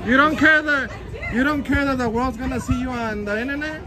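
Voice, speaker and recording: A man's voice: extremely high pitch, brisk. A young man vlogging on a busy city sidewalk with a handheld phone, taunting and laughing at people he films. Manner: taunting, laughing, talks to camera. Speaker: street vlogger filming restaurants. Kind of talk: confrontational street filming. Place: busy city sidewalk outside restaurant patio. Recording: noisy outdoor street recording. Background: crowd chatter and street traffic.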